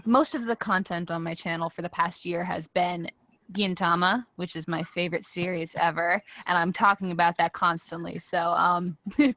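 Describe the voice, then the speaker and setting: A woman's voice: lowish voice, bright, forceful tone, dry recording. A woman who is a guest on a casual podcast and reviews anime, speaking upbeat and laughing often.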